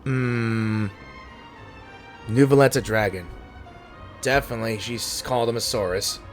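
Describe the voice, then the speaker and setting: A man's voice: lowish voice, steady tone, unhurried, faint music behind. A man streaming a game with casual, jokey, reactive commentary. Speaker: gaming streamer.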